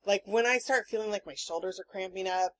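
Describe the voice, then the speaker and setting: A woman hosting a podcast in casual chat, telling rambling stories in an animated, sweary way. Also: medium pitch, a little fast, rough recording. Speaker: podcast host.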